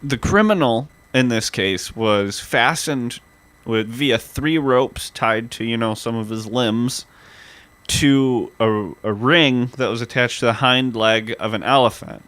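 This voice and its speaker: A man's voice: medium pitch, slow, fairly bright tone, no room sound. A man co-hosting a podcast, casually recounting history and laughing along with his co-host. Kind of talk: casual chat.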